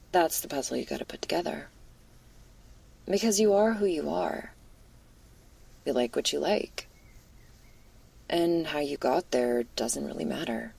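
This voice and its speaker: A woman acts out a female stoner werewolf in an ASMR roleplay, laid-back and caring with an anxious streak. Her voice sits low and crackles with fry. She talks slowly and hesitantly in casual slang, slipping between a breathy murmur and a whisper. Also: darkish tone, full character voice. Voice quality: husky, breathy, creaky. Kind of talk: intimate ASMR roleplay, spoken to the listener.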